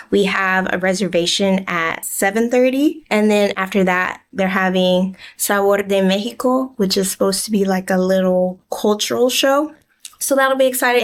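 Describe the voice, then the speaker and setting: An American woman talking in a casual, chatty, informal way as a book vlogger. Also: mid-range voice. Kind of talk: casual vlog chat.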